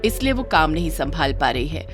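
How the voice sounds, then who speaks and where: A woman's voice: sits low, very animated, brisk, over music. A woman voicing a mild middle-aged mother in a moral story, earnest, concerned and conciliatory. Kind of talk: acted dialogue in a moral story.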